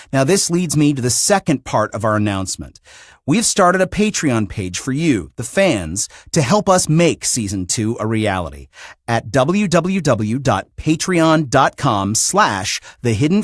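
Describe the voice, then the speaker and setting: Man with animated, polished podcast delivery. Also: mid-range voice, dry recording, clean studio sound. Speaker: podcast host and show creator. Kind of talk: scripted podcast announcement.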